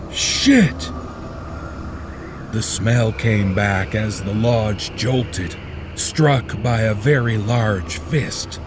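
A man giving slow, dramatic, scripted horror narration for a podcast. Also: medium pitch. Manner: slow, deliberate, dramatic delivery. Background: music.

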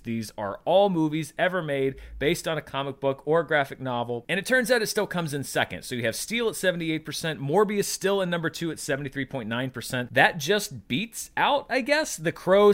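Higher-pitched man hosting an emphatic recap. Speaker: podcast host. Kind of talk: box office recap commentary.

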